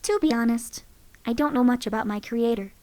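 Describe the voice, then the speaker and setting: A woman's voice: a little high, fairly quick, a little mellow, dry recording, lightly acted. A woman voicing a wry game companion. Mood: offhand. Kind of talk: game character voice lines.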